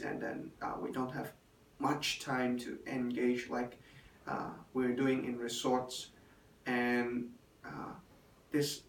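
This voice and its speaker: A young man, a hotel intern and native Chinese speaker, giving a halting spoken evaluation in English with many fillers. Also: mid-range voice. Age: young adult. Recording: roomy, distant, faint room noise.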